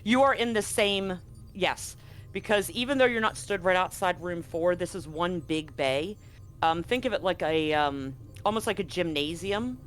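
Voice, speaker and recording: A man's voice: high-pitched, moderate pace, fairly bright tone. A man narrating a live tabletop game as game master, conversational and explanatory. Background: background music.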